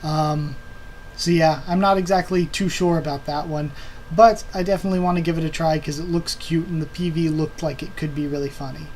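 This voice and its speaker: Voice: medium pitch, fairly even intonation, a little fast, darkish tone, low-quality recording. A young adult host chatting casually through a season preview, rambling with lots of ums and uhs, recorded at a home computer desk. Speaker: anime video channel host. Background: computer fan hum.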